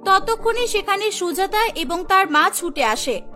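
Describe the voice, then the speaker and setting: A woman storyteller with a very high, bright voice. She reads a scripted cartoon tale as narrator, over faint music. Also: expressive, unhurried.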